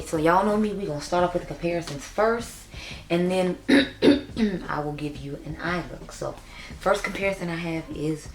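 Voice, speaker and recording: A young woman with a raspy voice, chatting casually and upbeat as she reviews makeup for her vlog. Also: deep voice. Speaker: beauty YouTuber. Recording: echoey room.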